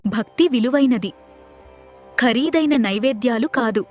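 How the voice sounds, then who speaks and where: A woman's voice: on the high side, a little slow, music in the background. A woman narrating scripted children's moral stories, instructive in her delivery. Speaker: storyteller.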